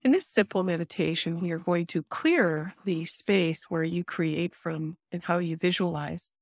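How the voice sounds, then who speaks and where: Lower-pitched woman guiding a meditation. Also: no room sound, studio quality. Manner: soothing, unhurried, instructive with pauses. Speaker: guided meditation leader. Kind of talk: guided meditation narration.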